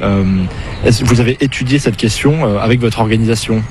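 A male reporter asking interview questions outdoors on a city street, halting, with fillers and restarts. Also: mid-range voice, a little mellow, slight background noise.

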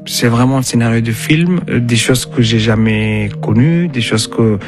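A man from Sudan tells his own story as an interview guest, in French that is not his first language. His voice sits at a middling pitch, and he searches earnestly for words. Faint music is behind him. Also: moderate pace. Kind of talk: personal testimony in an interview.

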